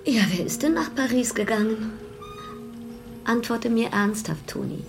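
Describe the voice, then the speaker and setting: A woman's voice: on the high side, sing-song, a little slow, vocal fry, music in the background, heavily acted. An actress playing a passionate, insistent married woman, speaking intimately and questioningly in a German radio drama. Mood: insistent, probing.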